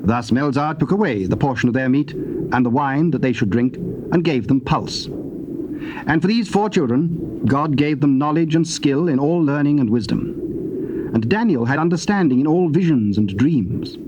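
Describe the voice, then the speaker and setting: A man's voice: medium pitch, lively intonation, muffled recording. Solemn male scripture narrator, noisy tape. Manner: measured, formal, solemn. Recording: noisy old cassette.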